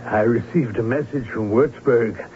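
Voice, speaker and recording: A man's voice: mid-range voice, a little slow, natural voice. A man playing a fussy baron, dull radio sound. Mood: earnest, fussy. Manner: formal, earnest. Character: dutiful middle-aged baron father, fussy host. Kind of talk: old-time radio drama acting. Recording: dull old radio recording, faint hum.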